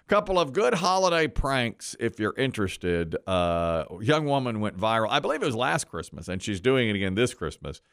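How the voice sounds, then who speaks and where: Male radio host, middle-aged, mid-pitched and very lively in intonation, casual, chuckly, repeats phrases for emphasis. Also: moderate pace, few pauses, smooth, no room sound, clean studio sound.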